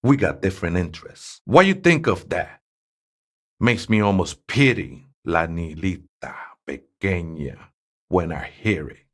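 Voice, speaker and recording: A streetwise convert with a low-pitched voice and a Chicano accent, in a video game. He is a devout former drinker who mixes barrio slang with fervent preaching, urging others toward salvation. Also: expressive, unhurried. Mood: laid-back, coaxing. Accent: Chicano Spanish-English. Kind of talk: character dialogue for a role-playing game.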